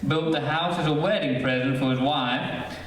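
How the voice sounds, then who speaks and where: A folksy, matter-of-fact real estate agent, acted by a man in a radio play. He has a slightly high voice and plain, hesitant delivery. He sounds distant, in a strongly reverberant room with faint noise. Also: normal speed, lightly acted. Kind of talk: 1940s radio acting.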